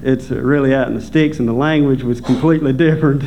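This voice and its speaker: A man from Delaware who grew up in rural Kentucky, with Pennsylvania Dutch as his first language, shares his faith testimony haltingly with frequent hesitations and soft chuckles. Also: medium pitch, fairly even intonation. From Delaware, raised in rural Kentucky. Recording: dull lo-fi recording with faint hum.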